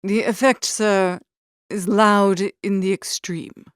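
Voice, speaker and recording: Woman acting a slow, hushed, dignified manservant. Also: medium pitch, steady tone, smooth, no room sound, studio quality, natural voice. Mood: pained disapproval. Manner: hushed, formal, measured. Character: deferential, disapproving English male valet, dignified and restrained. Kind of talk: audiobook character acting.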